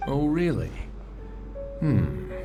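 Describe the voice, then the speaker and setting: An actor playing a shrewd prison chief in a video game, measured, dryly formal and authoritative. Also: male voice, medium pitch, very animated. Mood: musing. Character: composed, shrewd male prison administrator of high rank. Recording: game music clearly behind.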